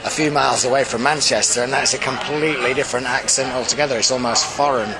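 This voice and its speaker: A man with a faint Yorkshire accent talks in a relaxed, conversational way, breaking off and restarting his sentences. His voice sits at an everyday middle pitch. A clear murmur of crowd chatter carries behind him. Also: fast, over music, low-quality recording. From Yorkshire, England. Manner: chatty, frequent restarts and fillers. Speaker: actor, guest. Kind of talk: casual interview chat.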